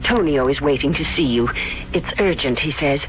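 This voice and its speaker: An actress playing a gracious, courteous young wife in a radio drama, with a poised manner. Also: male voice, fairly high pitch, animated, moderate pace, faint music behind, lightly acted. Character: gracious, polite young wife of a wine grower. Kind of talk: 1970s radio drama acting. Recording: dull vintage radio recording.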